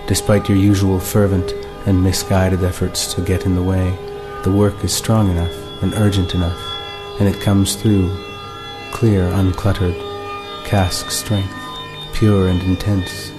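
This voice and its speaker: A man narrating a scripted, reflective monologue in a measured, deliberate and articulate way. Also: low-pitched. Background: music.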